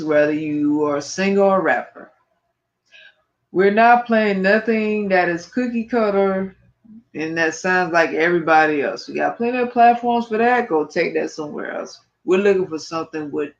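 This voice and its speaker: A tired man co-hosting a podcast, talking in a casual, slangy, blunt and emphatic way. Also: high-pitched. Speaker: podcast co-host. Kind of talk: casual, slangy show talk. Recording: dull, lo-fi stream audio.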